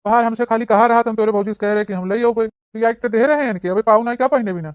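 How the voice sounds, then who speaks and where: An indignant village husband as a cartoon performer voices him, high for the part and very quick, firing scolding questions in an Awadhi accent. The track is dry and a little dull. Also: clean tone, no echo, lightly acted. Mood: puzzled, indignant. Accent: Awadhi, eastern Uttar Pradesh. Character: indignant village husband and elder brother, hot-tempered. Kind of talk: cartoon comedy dialogue.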